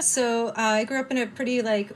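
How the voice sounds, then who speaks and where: Animated woman with a Boston accent. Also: fairly high pitch, a little fast, slight background noise. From United States (near Boston). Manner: animated, laughs often, many "like" fillers. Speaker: activist guest. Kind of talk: casual interview talk.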